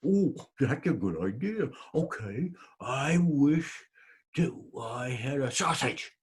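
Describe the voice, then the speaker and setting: A man voicing an excitable, simple-minded woodcutter in a told folk tale, exclaiming loudly. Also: mid-range voice, slow, breathy edge, heavily acted. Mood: proud. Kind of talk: storytelling character voice.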